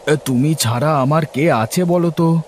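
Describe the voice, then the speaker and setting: A man's voice: on the high side, unhurried, a little hiss or hum, lightly acted. A man voicing an earnest, put-upon village farmer and husband in a cartoon story, plain-spoken and complaining. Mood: affectionate, puzzled. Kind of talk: Bengali cartoon story voice acting.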